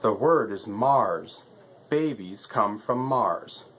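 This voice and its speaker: A man voicing an excitable, eager, mocking lion in a children's storybook. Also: on the low side, slow pace.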